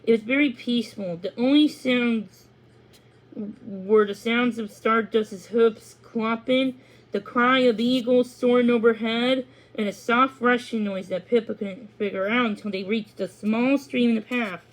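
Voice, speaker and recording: A woman's voice: fairly high pitch, unhurried, poor audio. A woman reading a children's story aloud, now and then tripping over a word and going back to it. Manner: stumbles and rereads words. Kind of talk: read-aloud storybook narration with acted dialogue.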